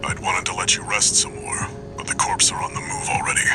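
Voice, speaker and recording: A man playing a gruff, weary older mercenary handler in a video game, briefing in a hushed, measured way. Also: deep voice, monotone, in a whisper, loud music behind the voice, close to an everyday voice. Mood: quietly businesslike. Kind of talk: scripted video game voice acting.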